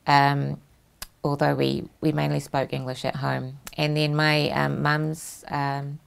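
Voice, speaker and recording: A woman speaks in a low, deep register with a heavily gravelly, creaky texture. She talks in a measured, reflective way, pausing often on ums. She was recorded on a lapel mic in a small studio. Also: level tone. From New Zealand. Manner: measured, thoughtful, frequent ums. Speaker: host. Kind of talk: studio interview chat.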